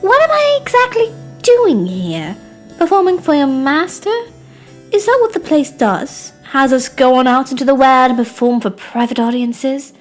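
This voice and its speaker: A woman's voice: high voice, very animated, normal speed, faint music behind. A woman voicing a naive, anxious young lady, polite but insistent, earnest and questioning in amateur period drama. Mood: polite, uneasy.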